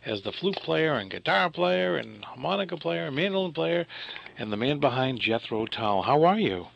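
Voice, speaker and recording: A man's voice: on the high side, sing-song, normal speed, gravelly, dry recording, dull audio. A man hosting a radio interview, chatty and informal with hesitant fillers. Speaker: radio host.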